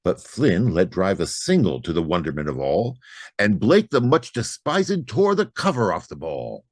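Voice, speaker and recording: Deep-voiced man reciting verse dramatically. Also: very animated, moderate pace, no room sound, clean studio sound. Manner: theatrical, emphatic delivery with big dynamic swings. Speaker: poetry reader.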